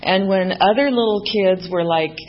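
A woman's voice: mid-range voice, normal speed, lo-fi sound. Candid woman giving recovery testimony. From Mexico. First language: Spanish. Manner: candid, self-deprecating humor with many fillers. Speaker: main speaker at a recovery meeting. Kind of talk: personal testimony to a group.

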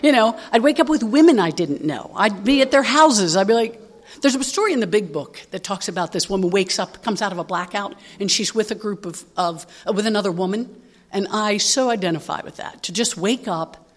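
A woman in her sixties speaks candidly to a recovery meeting, telling her own story. Her delivery rambles, full of fillers, restarts and asides, with a voice at an ordinary middle pitch for a woman. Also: expressive, a little fast. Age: 60s. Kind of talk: personal testimony to an audience.

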